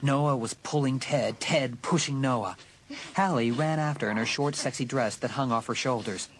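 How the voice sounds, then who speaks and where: A man with a husky voice giving suspenseful, scripted narration of a dramatised audiobook. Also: medium pitch, normal speed, slight background noise. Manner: suspenseful storytelling.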